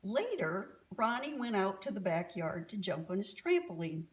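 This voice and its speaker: A woman reading a children's story aloud as an expressive storyteller. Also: medium pitch, moderate pace, slight background noise.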